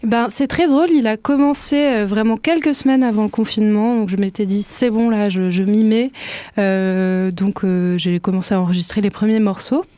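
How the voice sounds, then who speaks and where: A woman's voice: medium pitch, fairly even intonation, moderate pace, dark tone, no room sound. A woman musician chatting hesitantly in a radio studio interview, with many fillers and restarts. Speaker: musician guest. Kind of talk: casual interview chat. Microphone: studio microphone.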